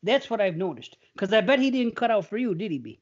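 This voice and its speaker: A man's voice: sits high, very animated, quick pace, muffled recording. Stammering, rambling male podcast host. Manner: rambling, stammering, laughs often. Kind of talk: casual banter. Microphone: remote call.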